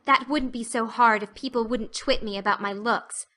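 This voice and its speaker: A woman acts an imaginative young orphan girl in a storybook drama. The voice is clear-edged, bright and fairly high, pouring out earnest, flowery chatter with a dreamy temper. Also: fairly even intonation, normal speed. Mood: plaintive. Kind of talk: audiobook acting.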